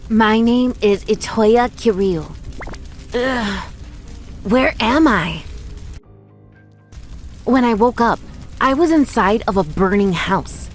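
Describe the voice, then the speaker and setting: A woman's voice: a little high, lively intonation, slow pace, long pauses. A woman voicing a frightened, lonely orphaned five-year-old boy, narrating his own story earnestly and emotively in an anime-style dub. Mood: somber narrating. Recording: voice over background music.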